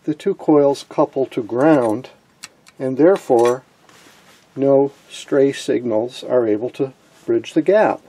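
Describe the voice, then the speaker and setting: A man giving an informal hands-on electronics tutorial in a gravelly, slightly husky voice, posing questions and answering them. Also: mid-range voice, warm tone. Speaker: electronics hobbyist presenter.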